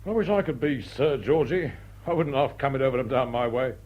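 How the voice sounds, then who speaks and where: An actor playing a cheerfully naive working-class young servant in period drama, colloquial and eager. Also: male voice, medium pitch, expressive, fairly quick, dull audio, lightly acted. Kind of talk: period stage comedy radio drama.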